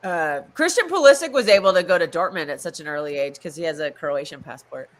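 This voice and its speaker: Female American co-host, fairly low voice, animated pitch, chatty casual banter. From United States. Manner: chatty and expressive, quick to laugh. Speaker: co-host of a live football watch-along stream. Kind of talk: casual chat.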